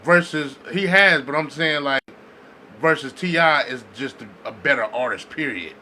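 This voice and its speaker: A sharp, bright male voice of medium pitch argues on a casual podcast. He is slangy and profane, doubling back on words to push his point. Faint noise sits in the background. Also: normal speed. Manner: argumentative, slangy, profane, repeats words for emphasis. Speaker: podcast co-host.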